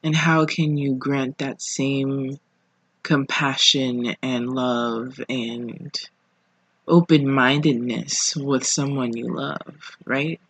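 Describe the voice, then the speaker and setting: A podcast host speaking casually alone, in an informal, reflective way with pauses between phrases. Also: mid-range voice, steady tone, a bit bright, slightly rough, dry recording. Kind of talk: casual solo podcast monologue. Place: living room at home.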